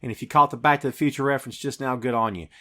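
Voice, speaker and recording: A man's voice: mid-range voice, fairly even intonation, racing, a bit bright. A man hosting a podcast, eagerly recapping a film scene by scene in casual chat. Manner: enthusiastic, recapping scenes with a laugh. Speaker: podcast host.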